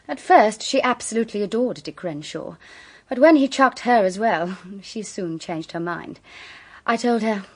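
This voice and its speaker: An actress playing a coolly amused, seductive and remorseless murderess in a radio drama, with a silky, mocking delivery. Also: female voice, mid-range voice, expressive, normal speed, close to an everyday voice.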